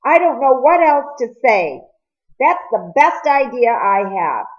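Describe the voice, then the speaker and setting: A woman's voice: fairly high pitch, very animated, slow, highs cut off, pitched above the performer's own voice. A woman reading the part of a remorseful, insistent teenage boy in a storybook, with emphatic, emotional delivery. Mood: desperate, upset.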